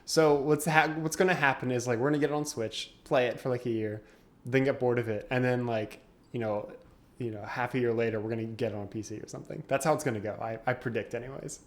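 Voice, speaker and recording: A man's voice: mid-range voice, steady tone, fast talker. A young man co-hosting a gaming podcast, laid-back and chatty, often laughing as he tells stories. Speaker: podcast co-host. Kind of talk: casual gaming podcast chat.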